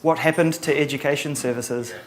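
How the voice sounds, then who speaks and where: A middle-aged man from New Zealand with a Kiwi accent, giving a relaxed conference talk with lots of ums and a dry sense of humor. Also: on the high side, brisk, noisy background. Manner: casual, many ums and uhs, dry humor. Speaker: conference presenter.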